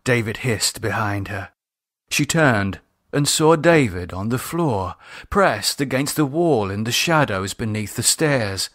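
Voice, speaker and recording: A man narrates a horror audiobook at an unhurried pace in a mid-range voice. His delivery moves up and down expressively, and the recording is close and dry. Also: medium pitch, expressive, fairly bright tone, no echo. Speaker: author and narrator.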